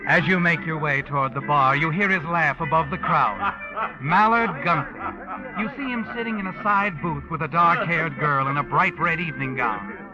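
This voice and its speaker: A man's voice: on the high side, normal speed, natural voice. Guy acting as creepy all-knowing american narrator, old time radio, taunting, dull sound w music. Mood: insinuating. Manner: insinuating, rhetorical, dramatic. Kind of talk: 1940s radio mystery acting, second-person narration.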